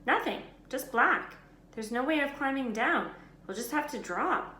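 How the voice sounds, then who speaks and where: A woman voicing a blunt, excitable schoolboy with a snappy, sarcastic manner in a storybook reading. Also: high voice, measured pace, voice pushed up, full character voice. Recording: roomy echo, background noise.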